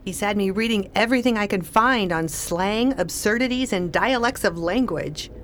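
An actress playing a wry, weary, irritable pregnant wife with a dry manner in a radio play. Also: female voice, mid-range voice, moderate pace, fairly bright tone, a little hiss or hum, close to an everyday voice. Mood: testy.

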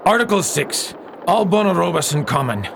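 Grave pirate, swooping higher voice. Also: male voice, big pitch swings, moderate pace, vocal fry, noisy background, full character voice. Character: grave, superstitious male pirate crewman. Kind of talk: radio drama acting.

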